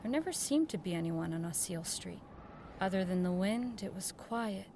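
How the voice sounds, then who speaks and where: A woman's voice: medium pitch, animated, normal speed, warm tone, slight background noise, natural voice. An actress playing an earnest, nervous woman who works in network radio, in radio drama dialogue and narration. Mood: haunted, awed.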